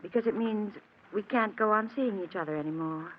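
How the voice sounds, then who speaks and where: An actress playing a gentle, sorrowful woman in love with a married man, restrained and earnest, in a 1940s radio drama. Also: mid-range voice, a little fast, close to an everyday voice. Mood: reluctant. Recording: dull, hissy old radio broadcast.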